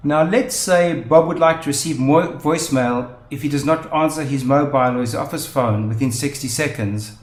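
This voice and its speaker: A man presenting a software tutorial, explaining methodically step by step. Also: on the high side, moderate pace, few pauses. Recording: roomy, echoing voice-over.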